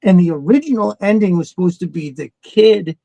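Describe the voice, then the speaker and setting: A middle-aged man co-hosting a casual fan chat, talking in an excitable, rambling, profanity-laced way. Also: sits high, a little fast, vocal fry, dry recording. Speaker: podcast co-host.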